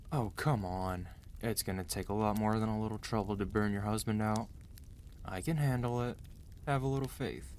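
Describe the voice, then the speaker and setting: A man acting out a weary, protective mafia boss husband in an ASMR roleplay, commanding and dry yet gentle and intimate. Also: on the low side, slight background noise, close to an everyday voice. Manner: tired, authoritative, dry, intimate.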